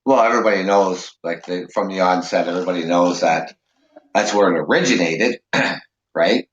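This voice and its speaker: A man with a lowish voice hosts a casual talk show, rambling with many fillers and restarts. His throat is sore and raw, and he holds back a cough. The sound is echoey and a bit muffled. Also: moderate pace, a little hiss or hum. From Canada. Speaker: show host.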